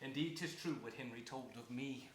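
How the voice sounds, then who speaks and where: A man's voice: medium pitch, normal speed, close to an everyday voice. A man in his thirties playing a murderous, scornful hunchbacked duke in theatrical Shakespearean stage style, mocking and declamatory with sinister emphasis. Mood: brooding, scheming. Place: indoor theater stage before an audience. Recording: roomy theater stage, distant mic.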